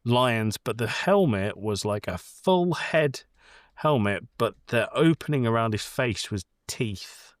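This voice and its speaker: A man's voice: mid-range voice, lively intonation, takes it slowly, no echo, clean studio sound. A man co-hosting a podcast in casual chat, playful and opinionated as he rambles along. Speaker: podcast co-host.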